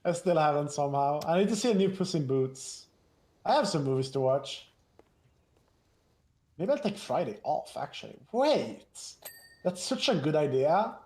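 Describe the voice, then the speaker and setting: A man in his thirties chatting casually while gaming, relaxed and wry, thinking aloud. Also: fairly high pitch, moderate pace, pauses often. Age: 30s. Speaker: streamer. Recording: echoey room, faint noise.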